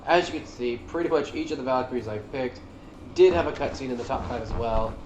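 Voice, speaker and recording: A man's voice: mid-range voice, fairly quick, slight background noise. A man giving casual, slangy and enthusiastic commentary as a gaming video creator. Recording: roomy echo under loud music. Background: loud game music.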